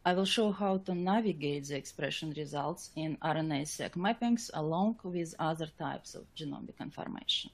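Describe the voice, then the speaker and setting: A woman scientist presenting a technical slide lecture in a webinar, with a halting, hesitant delivery. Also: sits low.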